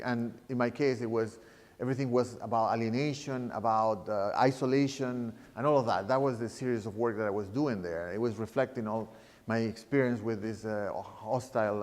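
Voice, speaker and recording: A man talks about his art in a halting, searching way, often stopping to restart a phrase. His voice sits in a middle register. The recording carries a long, lingering reverb. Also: medium pitch, darkish tone. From Chile. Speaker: artist. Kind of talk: reflective interview talk. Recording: reverberant room sound.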